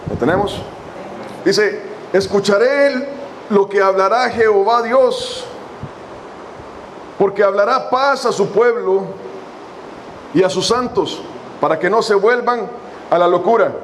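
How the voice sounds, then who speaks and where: A man preaching a sermon as a pastor, reading scripture slowly and addressing his congregation with long pauses. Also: high voice, fairly bright tone, a little hiss or hum, poor audio. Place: church hall.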